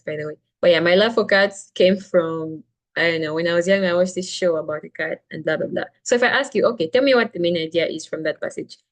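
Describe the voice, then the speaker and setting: Woman, young adult, from Zambia, classroom tutor, chatty, lower-pitched. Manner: chatty, frequent "like" and "right?", restarts sentences. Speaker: SAT reading tutor. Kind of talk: casual classroom teaching.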